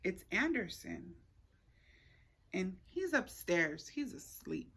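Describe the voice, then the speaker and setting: A woman reading a storybook, voicing a polite but firm neighbor lady who owns a cat, in a measured, matter-of-fact way. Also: on the low side, very animated, creaky, a bit bright, breathy edge, heavily acted.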